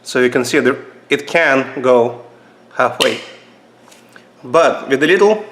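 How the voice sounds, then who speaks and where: Russian-accented man, halting knife talk. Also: a little hiss or hum, low-quality recording. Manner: halting, many fillers and restarts, lively pitch jumps. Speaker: channel host reviewing knives. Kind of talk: casual knife review vlog. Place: indoors at a table.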